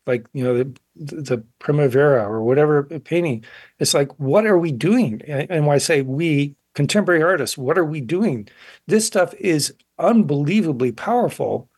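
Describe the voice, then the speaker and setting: A man in his sixties talking reflectively in an interview, thoughtful and halting as he searches for words. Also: a little high, breathy edge, no room sound. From grew up in South Korea as child of missionaries. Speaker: guest, painter.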